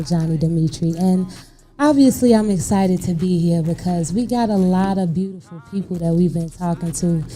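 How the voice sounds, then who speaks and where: A woman's voice: on the low side, warm tone. A woman hosting a talk show, introducing guests in an upbeat, playful way. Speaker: host.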